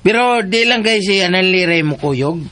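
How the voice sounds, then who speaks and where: An actor plays a cheeky village busybody in a Philippine radio drama. The Cebuano-accented voice is mid-pitched, sly and giggly, always teasing and tattling. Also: animated, normal speed, a bit bright, lightly acted. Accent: Cebuano Filipino.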